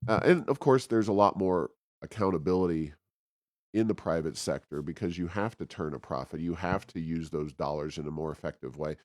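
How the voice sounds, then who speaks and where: Deep-voiced man discussing policy. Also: fairly quick, a little mellow, no echo, clean studio sound. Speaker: policy talk show host. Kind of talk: unscripted policy commentary.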